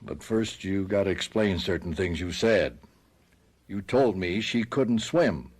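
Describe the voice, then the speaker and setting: Husky, patient sheriff. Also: male voice, sits low, lively intonation, a little slow. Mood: patient, probing. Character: patient, probing male sheriff questioning a suspect. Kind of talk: 1970s radio drama acting.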